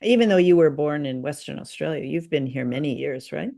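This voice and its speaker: Creaky-voiced North American woman chatting. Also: low-pitched, expressive, brisk, dark tone. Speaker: podcast co-host. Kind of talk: casual chat.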